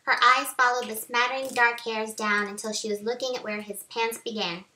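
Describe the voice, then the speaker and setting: High, bright helium-voiced woman reading fiction. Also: fairly even intonation, brisk. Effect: voice raised by helium. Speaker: author reading own novel. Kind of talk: reading romance fiction aloud. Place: small home office, indoors. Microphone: webcam at arm's length. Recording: roomy echo.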